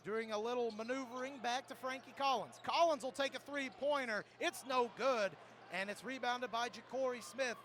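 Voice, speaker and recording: A man's voice: extremely high pitch, normal speed, fairly bright tone. A man calling a game live as a radio play-by-play announcer. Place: indoor sports arena. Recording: noisy live sports arena broadcast.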